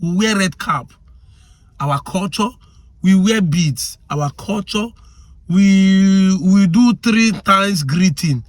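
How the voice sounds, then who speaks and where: A man's voice: sits high, slow pace, no echo. A man with a Nigerian accent giving impassioned commentary, arguing hard and firing off rhetorical questions. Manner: argumentative, fires rhetorical questions.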